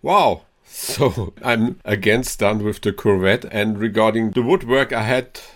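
Upbeat low-voiced male interviewer. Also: measured pace. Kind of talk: corporate interview.

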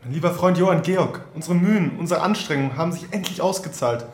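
A man's voice: fairly high pitch, fast, natural voice. A man playing an earnest, brooding nineteenth-century Prussian inventor in a formal, declamatory audio drama monologue. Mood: proud, excited.

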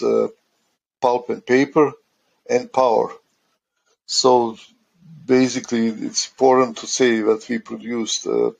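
A middle-aged man from Croatia, a guest speaking haltingly in a podcast interview, often pausing to search for words. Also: mid-range voice, creaky, husky, rough recording. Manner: halting, searching for words in non-native English. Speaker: guest, asset condition manager.

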